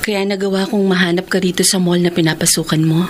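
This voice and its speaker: An actress in a radio drama playing a devoted woman who speaks softly, pleading and close to tears. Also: on the low side, fairly even intonation, fast talker, slight background noise, natural voice. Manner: soft, pleading, tearful. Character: Alice, a devoted woman ready to let go. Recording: radio drama with music behind.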